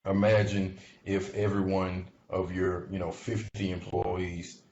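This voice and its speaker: Low-voiced guy talking thru company stuff, kinda halting and chatty, roomy echo, some hiss n noise. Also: level tone, darkish tone. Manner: halting, conversational, often checks in with "right?" and "okay?". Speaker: investment commentator. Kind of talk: informal explanatory commentary on a company. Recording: muffled, noisy audio in echoey room.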